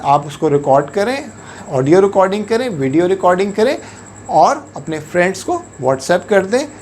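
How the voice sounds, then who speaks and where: Man explaining calmly. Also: high voice, slight background noise. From Pakistan. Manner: measured, patient explaining, switching often into English words and phrases. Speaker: English language teacher. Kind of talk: instructional talk to camera.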